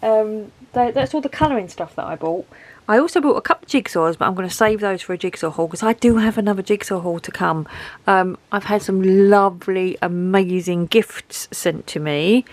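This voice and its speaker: A woman's voice: medium pitch, normal speed. A woman with a head cold and a dry throat, chatting in a rambling, self-deprecating way as she shows off a craft haul at home. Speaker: craft vlogger showing a haul. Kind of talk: casual chat to camera.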